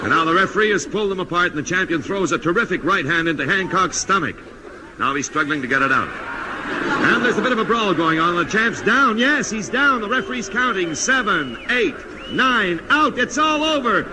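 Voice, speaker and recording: A man playing an excitable boxing commentator in a 1950s radio comedy, dramatically calling each blow. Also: high-pitched, brisk. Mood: excited. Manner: dramatic, breathless blow-by-blow delivery. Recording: very noisy vintage radio recording.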